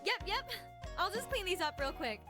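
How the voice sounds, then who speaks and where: A woman voicing a peppy, teasing, studious younger schoolgirl in an amateur visual novel fandub, with a lively girlish manner. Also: very high-pitched, moderate pace, music in the background. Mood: upbeat.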